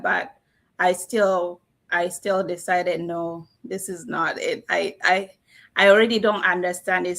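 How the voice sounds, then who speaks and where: A middle-aged woman from South Sudan speaks as an interview guest, her voice carrying a gravelly fry. She tells her story earnestly and with animation, heard over a video call. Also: lowish voice, fairly bright tone. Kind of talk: testimonial interview.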